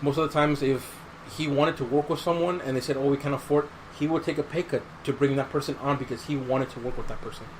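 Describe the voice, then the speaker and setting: A man's voice: mid-range voice, steady tone, quick pace, rough recording. A man talking casually as a podcast host, recounting stories in a conversational way. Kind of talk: casual chat. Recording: clear background noise.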